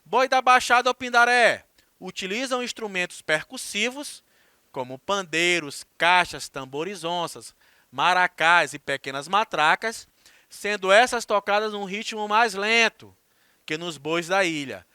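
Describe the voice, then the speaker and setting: A man's voice: high voice, a little slow, pushed and bright, smooth, dry recording, studio quality. A man teaching a recorded class, explaining things with chatty asides to his students.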